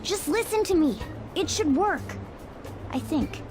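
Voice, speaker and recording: A small know-it-all cat mentor from a video game speaks in a high voice. The delivery is commanding and instructive, with clear background music underneath. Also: female voice, lively intonation, a little slow. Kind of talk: English video game dub voice acting.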